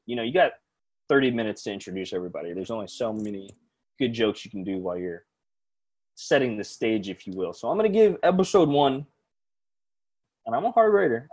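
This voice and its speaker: A young man co-hosting a casual chat about a TV show, measured and thoughtful, with easy laughs. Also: on the low side, a little mellow. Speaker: podcast co-host. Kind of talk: casual chat reviewing a TV episode.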